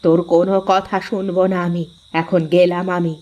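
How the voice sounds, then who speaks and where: A woman's voice: lowish voice, flat delivery, moderate pace, dark tone, muffled recording, close to an everyday voice. Stern older mother-in-law acted by a woman. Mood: scolding. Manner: scolding, commanding. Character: elderly mother-in-law, stern with her son. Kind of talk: cartoon voice acting.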